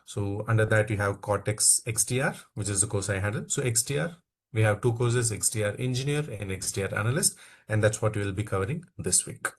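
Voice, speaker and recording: A man from India leading an online training class, who keeps saying "okay" between phrases and coaxes quiet participants to speak. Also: fairly low pitch, brisk. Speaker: instructor.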